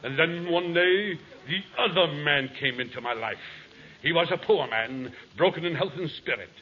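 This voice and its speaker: A man's voice: a little high, normal speed, slight background noise, lightly acted. An actor playing a raggedy vagrant convict who tells his tragic life in florid, theatrical speech, in 1940s radio comedy style. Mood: mournful storytelling. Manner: florid, theatrical, formal diction. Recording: muffled old radio broadcast recording.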